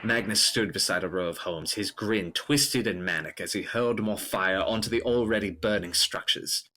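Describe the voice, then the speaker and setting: A man's voice: fairly low pitch, normal speed, bright, forceful tone. A man delivering scripted, dramatic narration of a gothic horror story.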